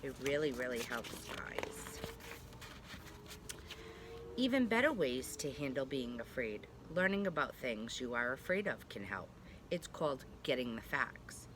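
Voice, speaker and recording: A woman preschool teacher reading a storybook aloud to young children, gently and reassuringly, with chatty asides. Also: extremely low pitch, sing-song, measured pace, background noise. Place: at home.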